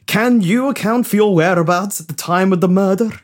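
A man playing a grave, dramatic police constable who narrates an old-time radio murder mystery in a deliberate way. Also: high voice, pitched above the performer's own voice, close to an everyday voice. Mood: probing.

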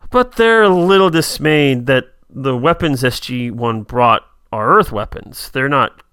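A man co-hosting a podcast, retelling a TV episode in quick casual chat with asides. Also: mid-range voice, expressive, a bit bright, clean tone, no echo, clean studio sound. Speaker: podcast co-host.

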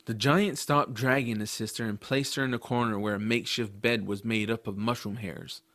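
Earnest young adventurer, dry recording. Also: male voice, on the low side, fairly even intonation, normal speed, fairly bright tone, no room sound. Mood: narrating, disgusted. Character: earnest, kind-hearted adventurous boy narrating his story. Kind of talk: first-person audiobook narration with dialogue.

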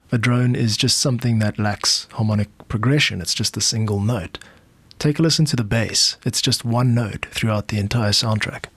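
A South African man hosting a podcast, explaining in a measured way like a music producer walking through his work. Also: mid-range voice, dry recording.

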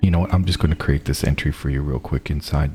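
A man's voice: extremely low pitch, fairly even intonation, fast talker, warm tone, soft background music. A man running an online tabletop game as game master, narrating casually.

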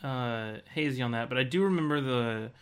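A man's voice: mid-range voice, normal speed, smooth. A man co-hosting a casual video game podcast, laid-back and a little hesitant as he thinks aloud. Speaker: podcast co-host.

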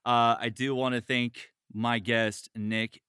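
Male podcast host, mid-pitched, bright, casual and sweary, dry close sound. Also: flat delivery, slow pace, clear, resonant tone, no echo. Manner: informal, sweary. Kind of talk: casual chat.

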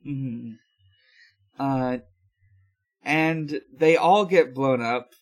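A man hosting a podcast, giving a casual recap in a halting, unhurried way full of hesitations. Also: medium pitch. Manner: halting, filler-heavy, unhurried. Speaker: podcast host. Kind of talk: casual episode recap with frequent pauses.

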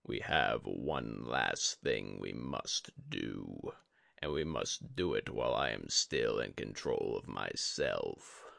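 A man voicing a solemn, resigned, tainted old sorcerer and teacher in a slow, grave, measured way for a fantasy audiobook. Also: extremely low pitch, lively intonation, creaky, fairly bright tone, no echo, clean studio sound, voice pushed down, heavily acted. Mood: measured, grateful.

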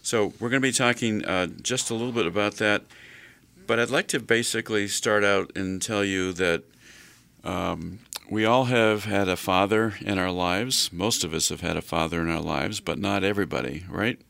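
Deep gravelly older male radio host. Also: a bit bright. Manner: slow, folksy, with many fillers. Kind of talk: casual radio talk.

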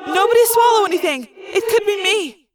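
A woman's voice: sits high, lively intonation, normal speed, very noisy. A woman voicing a panicky but wry teenage girl in an audiobook, exclaiming as she speaks. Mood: panicked, wry.

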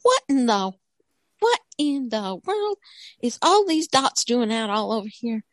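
A woman's voice: fairly high pitch, very animated, measured pace, no room sound. A woman voicing a folksy, apologetic waitress who fusses and exclaims, in an improvised audio drama. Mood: flustered apologetic. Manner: fussy, exclaiming.

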